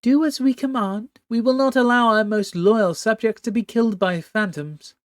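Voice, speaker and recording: A man's voice: moderate pace, no echo, studio quality, natural voice. A man voicing an imperious, tentacled female sea empress who speaks of herself as "we" and screeches her commands. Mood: commanding. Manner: regal royal "we", shrill and commanding.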